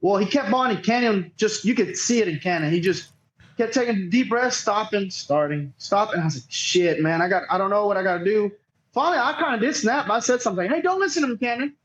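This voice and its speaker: A man's voice: high voice, expressive, a little fast, slight background noise, poor audio. A man being interviewed as a podcast guest, telling a story casually in a rambling, profane way and often quoting others. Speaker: podcast guest, cornhole player.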